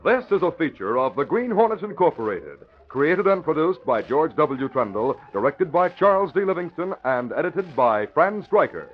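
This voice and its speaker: Dramatic old-radio announcer man, animated. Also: fairly high pitch, normal speed, few pauses. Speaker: announcer and narrator. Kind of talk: 1940s radio scripted narration. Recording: muffled 1940s radio recording.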